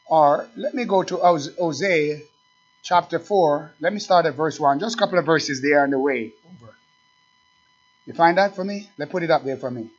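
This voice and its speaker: High-voiced pastor preaching with fire. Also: male voice. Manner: swings from low asides to loud preaching; reads scripture slowly and with emphasis. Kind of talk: fiery sermon. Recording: dull, lo-fi recording.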